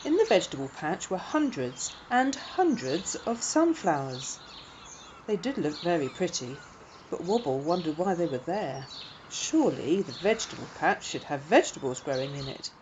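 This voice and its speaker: Mellow, expressive woman storyteller. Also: sits low, normal speed, muffled recording, slight background noise. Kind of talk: scripted children's story narration.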